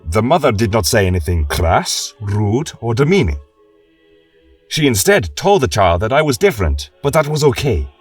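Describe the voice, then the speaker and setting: A man voicing a gentle, reflective horned and tailed war veteran, earnestly and thoughtfully reading out his fantasy journal. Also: medium pitch, sing-song, normal speed, husky, no room sound. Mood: earnest, troubled. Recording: distant mic with music behind.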